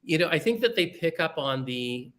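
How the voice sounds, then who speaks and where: Chatty, animated man telling anecdotes. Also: a little high, moderate pace. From Canada and England. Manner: animated, chatty, laughs readily; careful diction. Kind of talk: casual chat, anecdotal storytelling.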